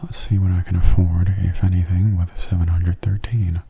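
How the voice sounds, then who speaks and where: A man giving soft-spoken ASMR game commentary, breathy and often near a whisper, in a gentle, explanatory way. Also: low-pitched, little pitch movement, normal speed.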